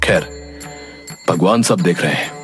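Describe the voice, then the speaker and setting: A man playing a kindly, concerned village priest with a measured manner in an animated moral story. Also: sits low, soft background music. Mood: reflective.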